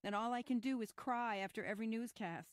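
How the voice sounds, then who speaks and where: An actress as a resolute 1960s Michigan housewife and activist in a radio drama. She is earnest and emphatic, with a fairly high voice in a dry recording. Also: a little fast, dry recording, lightly acted. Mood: distressed. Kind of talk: old-time radio style dramatic acting.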